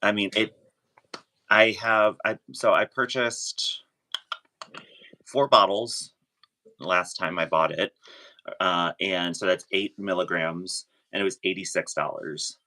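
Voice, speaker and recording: A man chatting casually as a guest, sharing his own experience in a halting way, often rephrasing himself. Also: low-pitched, pushed and bright. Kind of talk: casual chat.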